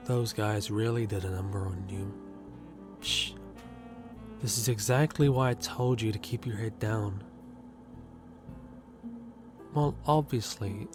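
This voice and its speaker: A man's voice: fairly low pitch, close to an everyday voice. A man playing a confident, self-centered handyman in an audio roleplay, teasing and cocky. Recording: music bed underneath.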